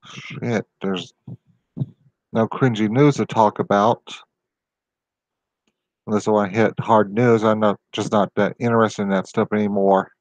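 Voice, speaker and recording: A man's voice: on the low side, fairly even intonation, a little slow, pauses often, no room sound, rough recording. A man hosting his own channel, talking through rambling tangents with exasperated complaints. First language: not Japanese. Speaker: video host. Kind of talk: casual solo commentary.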